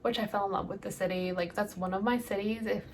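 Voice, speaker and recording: A woman's voice: medium pitch, steady tone, fast talker, background noise. A woman in her late twenties, from El Salvador, chatting casually through a get-ready-with-me Q&A, rambling with lots of ums and likes. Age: late 20s. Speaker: beauty vlogger.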